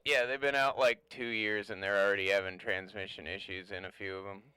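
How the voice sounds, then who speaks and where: A man's voice: on the low side, flat delivery, a little fast. A man talking casually over CB radio, blunt, opinionated and given to swearing. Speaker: CB radio operator chatting. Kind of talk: casual chat about trucks. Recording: very noisy CB radio with clicks.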